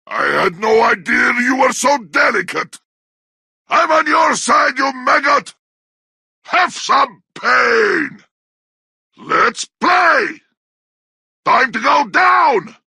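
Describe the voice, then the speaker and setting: Shrieking, raspy orc brute. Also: male voice, very high-pitched, expressive, slow, long pauses, pushed and bright, no echo, shouting, clean studio sound, heavily acted. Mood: taunting, aggressive. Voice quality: harsh, raspy growl. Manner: snarling battle barks in crude, broken grammar. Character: brutish, bloodthirsty orc warrior of Mordor, aggressive and taunting. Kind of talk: video game combat barks.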